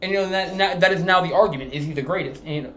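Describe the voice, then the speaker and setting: A young man co-hosting a casual soccer podcast, animated and swearing freely, often restarting his sentences. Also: high voice, rapid-fire, reverberant, slight background noise. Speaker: podcast co-host. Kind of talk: casual sports chat.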